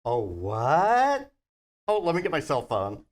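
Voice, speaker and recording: Comedy: male actor as flamboyant late-forties paramedic diva, high, very animated, theatrical. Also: takes it slowly, full character voice. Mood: self-absorbed. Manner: campy, theatrical. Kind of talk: live table read of comedy script.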